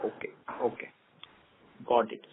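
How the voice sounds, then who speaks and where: A male analyst phoning into an earnings call, putting questions politely and a little hesitantly. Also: a little slow. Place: phone call into conference. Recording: narrowband phone line, faint noise.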